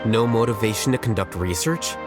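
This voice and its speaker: Man playing a low-voiced, detached young scholar. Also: over music, close to an everyday voice. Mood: probing. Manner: measured, matter-of-fact, explanatory. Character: calm, blunt, intellectual young male scholar. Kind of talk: game voice acting.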